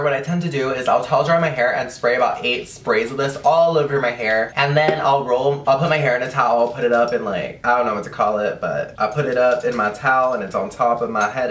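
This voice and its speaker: A man's voice: mid-range voice, a bit bright, far from the mic. A young man from Texas talks through his hair routine in a loose, gushing way, scattering "like" through every sentence. He sits a little back from the camera in a bedroom, so his voice carries a roomy echo with faint hiss behind it. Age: young adult. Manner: chatty, gushing, heavy use of "like". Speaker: beauty vlogger. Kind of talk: casual hair-care tutorial chat. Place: bedroom, indoors.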